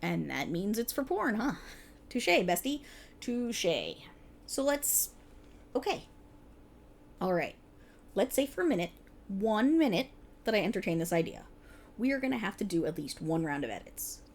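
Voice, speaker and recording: Woman playing an animated, sarcastic best-friend voice actor. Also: medium pitch, unhurried, creaky, long pauses, a little hiss or hum. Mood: grudging, playful. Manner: sarcastic, chatty, casual, hesitant asides. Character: exasperated, playful young woman voice actor bantering with best friend. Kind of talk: ASMR roleplay, one side of a conversation.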